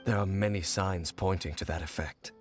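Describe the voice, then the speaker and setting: An actor playing a grave, guarded wanderer in a video game, speaking in a measured, formal, solemn way. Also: male voice, low-pitched, steady tone, soft background music, close to an everyday voice. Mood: serious, analytical. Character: grave, brooding male wanderer, stern and guarded. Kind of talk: video game character acting.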